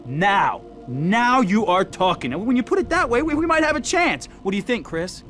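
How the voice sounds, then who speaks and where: Game cutscene rebel, high-pitched, very animated, mocking and excitable, a sarcastic streetwise fighter. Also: male voice, moderate pace. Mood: won over, eager. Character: brash, sarcastic rebel fighter, itching for revenge.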